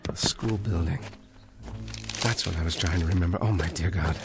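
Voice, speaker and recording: An actor playing a troubled, helpless young time traveller, brooding and earnest, in an old television drama. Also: male voice, low-pitched, normal speed, vocal fry, loud music behind the voice. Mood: dawning dread. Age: young adult.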